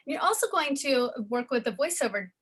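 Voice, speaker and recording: A woman's voice: high voice, lively intonation, brisk, fairly bright tone, slightly rough. A woman hosting an interview about a college media program, speaking in an enthusiastic, promotional way. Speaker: program host.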